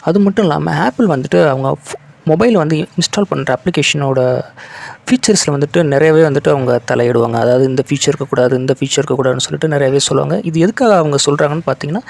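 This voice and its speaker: A man's voice: on the high side, gravelly, darkish tone. A man presenting tech news in an informal, explanatory way, conversational and peppered with filler words and English terms. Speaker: tech news video host.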